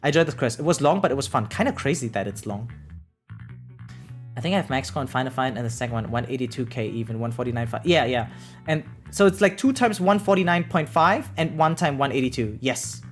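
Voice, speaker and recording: Animated, excitable game streamer with music behind. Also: medium pitch, brisk. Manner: excitable, reactive, sweary, slangy. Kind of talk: casual live stream commentary, chatting with viewers and reading game text.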